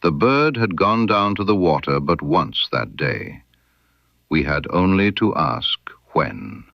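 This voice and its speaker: A man narrating a detective story audiobook in a measured, deliberate, theatrical storytelling manner, in a slightly dull recording. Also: a bass voice, very animated, vocal fry, no room sound.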